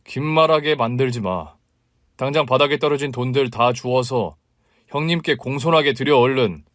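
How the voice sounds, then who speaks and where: A man playing a protective younger brother-in-law in a spoken story, forceful and commanding, shouting when angered. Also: medium pitch, moderate pace, no echo, clean studio sound, lightly acted.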